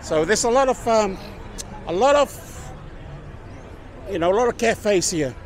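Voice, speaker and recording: A middle-aged man strolls a busy evening street with the camera held at arm's length, chatting upbeat and easygoing. His voice sits a little high. Loud crowd chatter and passing traffic fill the background. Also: animated, a little slow. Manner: laid-back, chatty, upbeat street talk. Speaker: vlogger. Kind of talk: casual walking vlog. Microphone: handheld camera at arm's length.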